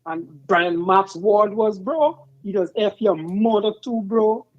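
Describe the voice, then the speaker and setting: Man, call-in caller, insistent, muffled phone sound. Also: high voice. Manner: insistent, rapid bursts, says bro often.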